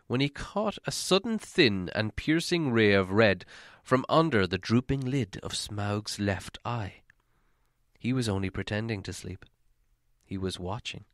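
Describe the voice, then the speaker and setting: A man's voice: on the low side, a bit bright, no echo, studio quality. A man reading a storybook aloud as a dramatic, scripted narration. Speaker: audiobook narrator.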